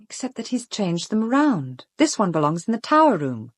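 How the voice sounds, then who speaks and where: An actress playing a perceptive, composed British woman amateur detective in a radio drama, probing in a measured, deliberate way. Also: medium pitch, very animated, husky, no room sound. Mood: thoughtful.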